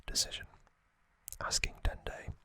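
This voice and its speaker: Slow, hushed whispering ASMR man. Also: no room sound. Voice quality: breathy, unvoiced whisper. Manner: slow, hushed, deliberate, with long pauses between short phrases. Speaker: host of an ASMR trivia channel. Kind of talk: ASMR whispered storytelling, loosely scripted recap.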